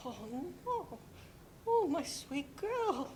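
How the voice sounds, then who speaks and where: A woman playing a kindly, kooky, motherly older neighbour in a dramatic play reading, with a gentle, fussing manner. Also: big pitch swings, heavily acted. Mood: concerned, soothing. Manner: slow, fussing, full of endearments. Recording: faint background noise and rumble.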